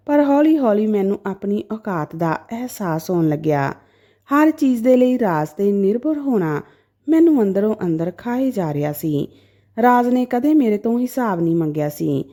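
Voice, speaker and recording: A woman narrating a dramatic first-person story in an expressive, emotive way. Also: fairly high pitch.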